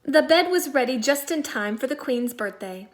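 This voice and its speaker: A woman teacher reading a storybook aloud to young children in an expressive, deliberate way, stressing key words. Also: high-pitched. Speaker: second-grade teacher.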